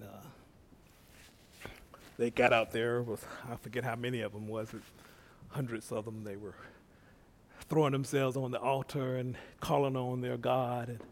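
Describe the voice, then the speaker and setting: A middle-aged man preaching a casual, folksy sermon, soft-spoken at rest and building to excited calls of praise. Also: medium pitch, a little hiss or hum. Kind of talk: conversational sermon.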